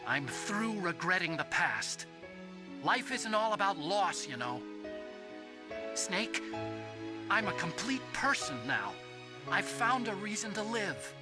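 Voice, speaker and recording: A very high-pitched male computer expert, earnest, hesitant and gentle. It is video game drama with music clearly behind the voice. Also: very animated, slow, long pauses, full character voice. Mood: heartfelt. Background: game score.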